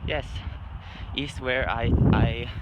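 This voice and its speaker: A teenage boy voicing a cheery teen persona with a put-on Japanese accent and halting, broken phrasing. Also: medium pitch, steady tone, muffled recording, heavy background noise, pitched above the performer's own voice, close to an everyday voice. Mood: cheerful.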